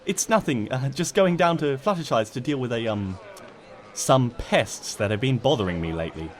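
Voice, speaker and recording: A man's voice: mid-range voice, animated, normal speed, close to an everyday voice. A man voicing a flustered, indignant young female cartoon pony who is easily exasperated. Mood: flustered, evasive. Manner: stammering and muttering, snapping back at a narrator.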